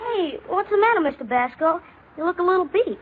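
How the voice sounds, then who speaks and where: Lady doing young american newsboy, high voice, slangy and streetwise, tinny old radio comedy. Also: animated, a little fast, a faint crowd. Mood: chatty. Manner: slangy, plain-spoken. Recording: tinny old radio recording, faint noise.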